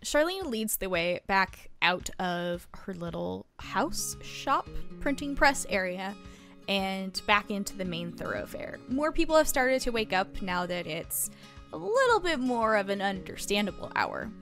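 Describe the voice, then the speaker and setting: A woman's voice: mid-range voice, big pitch swings, unhurried, a bit bright, soft background music. A woman narrating an improvised tabletop roleplaying game as the game master.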